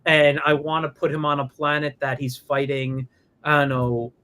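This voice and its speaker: A man hosting a casual interview over a livestream, friendly and halting, often repeating words as he starts sentences. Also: fairly high pitch, little pitch movement, pushed and bright, smooth. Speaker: host. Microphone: remote livestream call.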